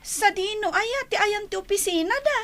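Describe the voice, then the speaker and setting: A woman's voice: extremely high pitch, lively intonation, normal speed, a bit bright, heavily acted. A woman playing a curious, childlike schoolgirl daughter in a radio drama, full of questions, with an Ilocano accent. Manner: inquisitive, childlike.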